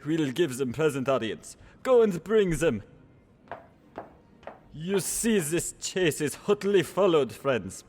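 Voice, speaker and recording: A man's voice: fairly high pitch, sing-song, slow, a little mellow, slight background noise. A man playing a wary, regal French king in solemn, declamatory Shakespearean radio theater. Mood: regal, measured.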